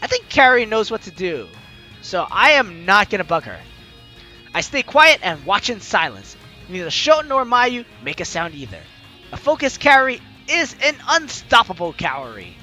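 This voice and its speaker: Man, very high-pitched voice, theatrical story narration, let's play host, animated swings, music behind. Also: normal speed. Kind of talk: reading a visual novel story aloud, dramatic narration. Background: game music.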